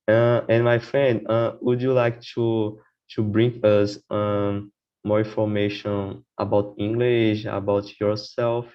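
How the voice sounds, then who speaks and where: A man's voice: fairly low pitch, completely flat delivery, highs cut off. A man, a podcast guest in casual conversation, who often restarts his sentences and keeps checking in with "right?". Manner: halting, many restarts, repeats "right?".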